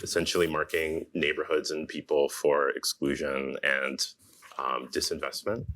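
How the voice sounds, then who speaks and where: A man moderating a lecture Q&A, asking thoughtful questions at a measured pace. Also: a bass voice, level tone, a bit bright. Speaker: moderator, urban planner.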